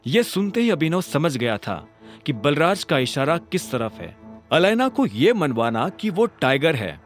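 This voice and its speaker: A man's voice: a little high, sing-song, a little slow. A man narrating a scripted audio drama in a dramatic storytelling style, as its narrator and voice actor. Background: background music.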